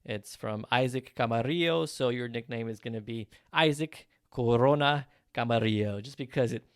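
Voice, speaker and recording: A man's voice: mid-range voice, moderate pace, clean tone, no echo. Male podcast host, casual and opinionated, filler-heavy, mid-range voice, dry close mic. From Mexican heritage. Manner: chatty, opinionated, frequent fillers. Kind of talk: casual solo podcast talk.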